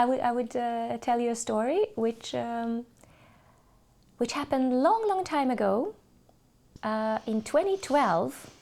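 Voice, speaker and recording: A Hungarian woman speaking English as a podcast guest, telling stories thoughtfully with many pauses and restarts. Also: on the high side, a little mellow. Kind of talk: casual interview chat.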